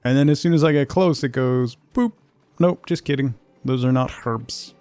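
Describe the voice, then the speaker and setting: A man's voice: medium pitch, normal speed, a little mellow, clear, resonant tone, dry recording. A man chatting casually as he streams a game, thinking aloud in short fragments. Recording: music behind the voice. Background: music.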